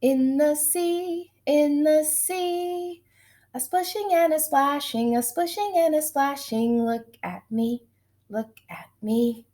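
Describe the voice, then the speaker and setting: A woman's voice: sits high, takes it slowly, dark tone. A woman teaching young children in an encouraging, sing-song way, asking them questions as she counts, chants and tells simple stories.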